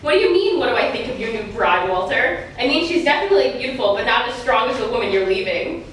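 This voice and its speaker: A woman's voice: on the high side, very animated, fast talker. A woman playing an exasperated, sarcastic scorned wife and mother as an indignant talk-show guest in a theatrical monologue. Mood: shocked, hurt. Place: stage, live performance. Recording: very echoey stage, distant mic, faint noise.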